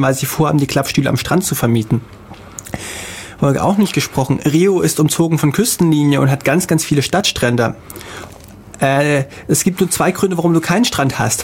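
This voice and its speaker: A man co-hosting a casual podcast, telling travel stories in a halting way with many fillers. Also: a little high, breathy edge. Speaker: podcast co-host. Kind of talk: casual chat.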